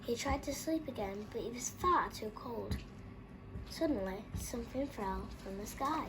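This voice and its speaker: A woman with a fairly high voice reads a children's story aloud. Her narration is expressive, and there is clear noise in the recording. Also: normal speed. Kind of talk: expressive picture-book read-aloud.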